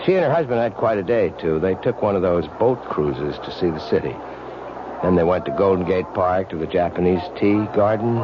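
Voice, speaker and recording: An actor playing an earnest police lieutenant in an old-time radio mystery, speaking in an urgent, persistent, confiding way. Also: male voice, low-pitched, lively intonation, brisk. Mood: grave, matter-of-fact. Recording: muffled, noisy old radio recording.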